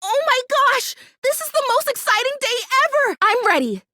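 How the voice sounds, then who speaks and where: A bubbly little fake houseplant from a video game, with a very high, lively voice that leaps around. She is naive and stubbornly hopeful, chattering emphatically with big dramatic flair. The sound is close and dry. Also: moderate pace, no room sound, heavily acted. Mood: excited. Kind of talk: animated video game character acting.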